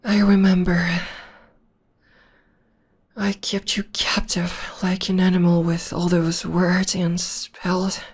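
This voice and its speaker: Woman as a breathy, half-whispering, gentle witch girlfriend. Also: lowish voice, level tone. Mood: tender, reminiscing. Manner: tender, slow, soothing. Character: gentle, loving witch girlfriend comforting her demon partner. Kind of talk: ASMR roleplay, intimate personal attention.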